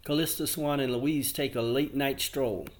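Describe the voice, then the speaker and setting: A man's voice: medium pitch, fairly even intonation, normal speed. A man narrating an audio drama from a script. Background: music.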